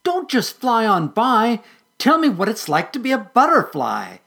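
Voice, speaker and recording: A storyteller voicing a curious young girl caterpillar who speaks in excited, high-pitched questions. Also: measured pace. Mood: indignant.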